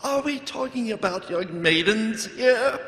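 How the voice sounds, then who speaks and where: A flustered city gentleman, with a high, sharp voice. He sounds incredulous and stammering in a live radio play, echoing in a hall. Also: lively intonation, unhurried. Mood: alarmed. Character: flustered, alarmed male city leader. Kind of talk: live audio drama acting. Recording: echoey live hall recording.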